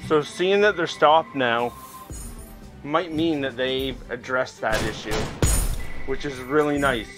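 A man's voice: medium pitch, slow pace. A middle-aged man hosting a gaming video, explaining things casually with a self-deprecating manner as he plays. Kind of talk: casual gameplay commentary. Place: indoors, recording while playing VR game. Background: game music.

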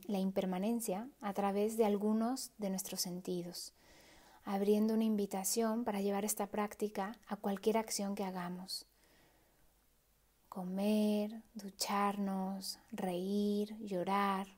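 A woman leading a guided meditation in a soft, hushed, breathy voice with pauses. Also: mid-range voice.

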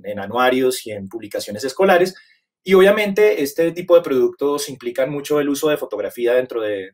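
A Colombian man in his forties with a Bogotá accent, giving an online presentation as a guest photographer, grateful and rambling, with many fillers and restarts, on a webcam video call. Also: mid-range voice. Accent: Colombian Spanish, Bogotá. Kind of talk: webinar presentation. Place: home setup on a video call.

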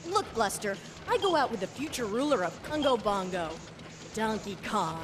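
A woman's voice: a little high, lively intonation, normal speed, music in the background, natural voice. A woman voicing a sassy, unimpressed young female ape in a cartoon, dry and dismissive. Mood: scornful. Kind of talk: animated cartoon acting.

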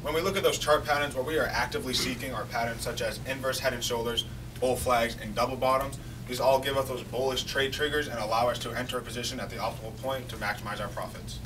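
A man's voice: medium pitch, fairly even intonation, fast. A young man presenting on stage, walking the audience through stock charts. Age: young adult. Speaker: student presenter. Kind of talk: rehearsed finance presentation. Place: lecture hall on stage. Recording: distant voice with room noise.

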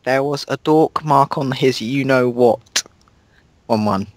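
A man's voice: medium pitch, takes it slowly, dry recording. A man reading a fan story aloud in a mock-dramatic way, stumbling over words and laughing at the text.

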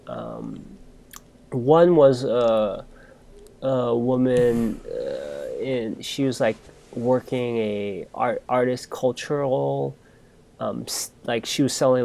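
A man's voice: medium pitch, gravelly, darkish tone. A young man co-hosting a podcast, telling a long casual story with lots of fillers and restarts. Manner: rambling, many fillers and restarts. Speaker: podcast co-host.

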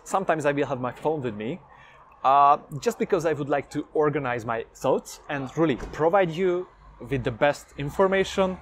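A man's voice: on the high side, a little hiss or hum. A young Hungarian man with a Hungarian accent, talking straight to camera in an upbeat vlog about learning a language, speaking energetically and gesturing as he goes, recorded on a lapel mic indoors. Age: young adult. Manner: energetic, talks with his hands. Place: indoors against a plain light wall. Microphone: lapel mic, medium camera framing.

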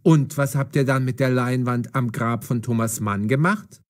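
A man's voice: mid-range voice, normal speed, close to an everyday voice. Man playing a commanding, mocking police detective. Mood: stern, probing. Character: gruff, mocking police detective, stern but fair. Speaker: voice actor. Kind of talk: audio drama for language learners.

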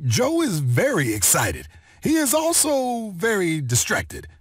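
A man narrating a children's read-along story in an expressive, deliberate storytelling manner. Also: sits high, no room sound, clean studio sound. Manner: expressive, deliberate storytelling delivery with clear phrasing.

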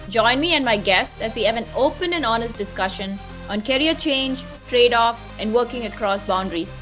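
A woman hosting a podcast, reading a scripted intro and asking guests measured, friendly questions. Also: on the high side, a bit bright. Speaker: podcast host.

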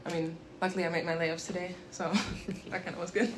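A woman's voice: on the low side, fairly even intonation, fairly quick, slight background noise. A young woman basketball player in her twenties giving shy postgame answers full of ums and likes, on a table mic in a press room. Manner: shy, giggly, many fillers.

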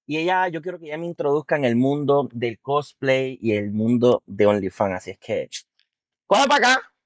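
A man hosts a loose, teasing chat from inside a car. His voice sits at a middling pitch and sounds dry and close, with no room around it. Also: sing-song, normal speed, no echo. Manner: playful, teasing, jokey. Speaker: host. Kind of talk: casual interview.

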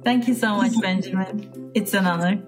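A woman's voice: mid-range voice, fairly even intonation, normal speed, music in the background. A woman from Turkey with a Turkish accent, talking casually as an interview guest and often searching for words. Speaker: interview guest, piano teacher.

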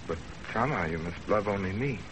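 An actor playing an earnest young husband in a 1930s radio drama, speaking gravely and deliberately. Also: male voice, sits low, animated, fairly bright tone. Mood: pleading. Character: earnest young husband narrating his love story. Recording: noisy 1930s radio broadcast.

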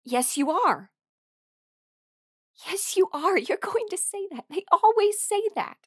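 A woman's voice: extremely high pitch, big pitch swings, takes it slowly, dry recording, exaggerated voice. Exasperated, defensive young-sounding musician played by a woman, dry close sound. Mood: angry, exasperated. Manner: emotional, exasperated, swearing. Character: defensive aromantic woman musician rejecting her bandmate's love. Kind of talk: audio roleplay drama monologue.

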